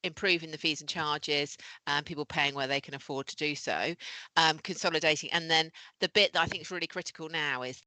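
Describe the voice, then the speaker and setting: A woman with a slightly croaky voice giving earnest, formal remarks at a council meeting. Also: deep voice, fairly even intonation, a little fast, bright, forceful tone, dry recording, low-quality recording. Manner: earnest, corporate phrasing.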